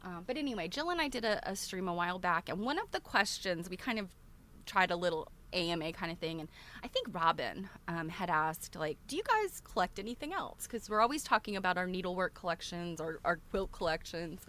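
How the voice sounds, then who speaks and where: A woman's voice: fairly low pitch, lively intonation, brisk, fairly bright tone. A woman chatting casually to regular viewers as a livestream host, rambling along in a conversational way. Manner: chatty, rambling, often breaks into laughter.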